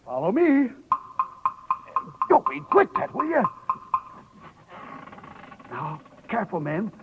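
A man's voice: extremely high pitch, huge pitch swings, slow, vocal fry, exaggerated voice. An actor playing a flustered elderly dwarf leader who stammers and jumbles his words, in a 1930s radio drama. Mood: nervous, hushed. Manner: stammering, tongue-tied, jumbles words and syllables. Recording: dull lo-fi 1930s radio, music behind.

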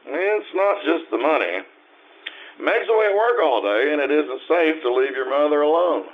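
An actor playing a gentle, tactful husband and father in old-time radio drama style, speaking in a measured way. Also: on the high side, expressive, narrow, tinny audio. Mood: reluctant. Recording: thin radio-like sound with background noise.

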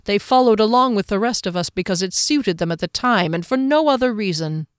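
An earnest, defensive soldier in a fantasy storybook, voiced by a woman. He has a pleading manner and a mid-range pitch, recorded close and dry. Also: animated, fairly quick, clear, resonant tone, dry recording, lightly acted. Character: earnest, defensive male soldier under suspicion.

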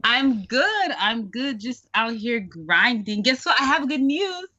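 A young woman co-hosting a casual podcast, loud and teasing, laughing often. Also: on the high side, sing-song, takes it slowly, dry recording, lo-fi sound. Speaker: co-host.

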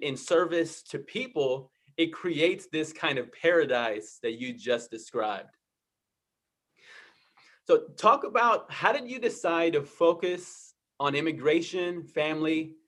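A man with a somewhat higher voice hosts the conversation. He reflects back what his guest says and affirms it, stumbling over repeated words as he goes. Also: fairly bright tone. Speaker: interview host. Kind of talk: conversational interview.

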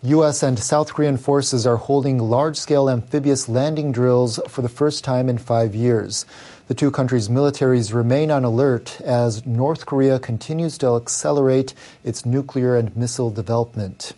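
A man's voice: medium pitch, moderate pace, mellow. A man reading scripted news as a newsreader. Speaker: news reader.

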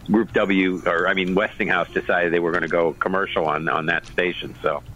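Co-host, male, very low voice, rambling casual talk. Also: fairly even intonation, moderate pace, sharp tone, muffled recording. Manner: rambling, many restarts. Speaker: radio show co-host. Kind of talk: casual panel chat.

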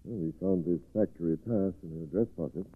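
An actor playing a grave, courteous doctor in a 1940s radio drama, husky and formal. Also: male voice, low-pitched, a little fast. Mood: grave, concerned. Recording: phone-like 1940s radio recording.

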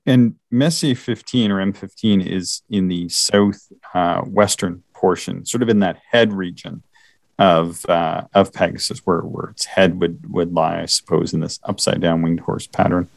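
Low-voiced man chatting about stargazing. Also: fairly even intonation, unhurried, vocal fry, no room sound. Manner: rambling, hesitant, many fillers and restarts. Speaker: podcast co-host. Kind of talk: casual astronomy chat.

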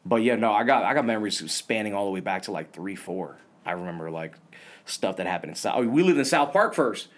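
Casual male host who was born in Vietnam, relaxed and slangy, laughs a lot while he tells stories. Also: fairly low pitch, brisk. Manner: laid-back slangy storytelling, laughs often. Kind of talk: casual chat.

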